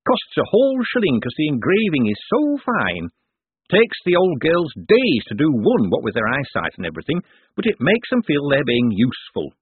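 A man's voice: fairly high pitch, wildly swooping pitch, normal speed, husky, no room sound, voice pushed up, heavily acted. A man voicing a wily older male foreman at a mint who uses rustic grammar and calls everyone sir. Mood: earnest. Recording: tinny phone-like dry sound.

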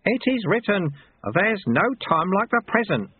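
A man's voice: sits high, sing-song, measured pace, breathy edge, no room sound, phone-quality sound, pitched above the performer's own voice, heavily acted. A man voicing a sly, cheerful old monk and martial-arts master who talks in a cheeky, colloquial, teasing way, in an audiobook. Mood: gleefully teasing.

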